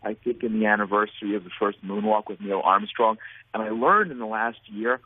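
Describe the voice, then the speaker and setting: A man's voice: on the low side, fairly quick, husky. Earnest American man on a phone line. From United States. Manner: earnest, rambling with many restarts. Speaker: guest, nonprofit founder. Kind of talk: phone interview.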